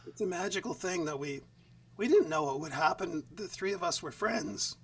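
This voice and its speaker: A man talking as a guest musician in a relaxed interview over a video call, in a quiet, even speaking voice. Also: sits high, normal speed, far from the mic. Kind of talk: casual interview chat. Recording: voice set back from the mic.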